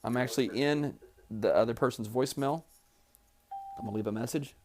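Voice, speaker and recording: A man's voice: medium pitch, a little fast, a little mellow. A man giving a step-by-step, plain-spoken instructional tutorial as a trainer demonstrating office equipment.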